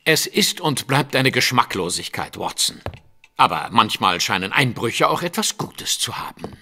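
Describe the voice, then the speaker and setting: Crisp-edged forceful tone, animated pitch, proud gentleman sleuth, pompous, precise, radio play. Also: moderate pace, slight background noise, full character voice. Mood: disapproving. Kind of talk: audio drama acting.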